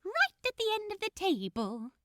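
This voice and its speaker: A woman's voice: very high-pitched, huge pitch swings, normal speed, very noisy, voice pushed up, heavily acted. A woman voicing a relentlessly cheerful female Christmas elf volunteer in a story, with bubbly, perky customer-service enthusiasm. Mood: bright, helpful.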